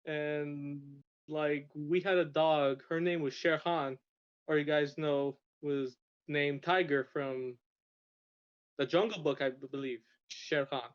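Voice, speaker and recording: A man's voice: a little high, steady tone, slow, clean tone. A young man from Russia telling stories as a podcast guest, rambling with frequent restarts and stammers. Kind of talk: casual storytelling. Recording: dull lo-fi sound.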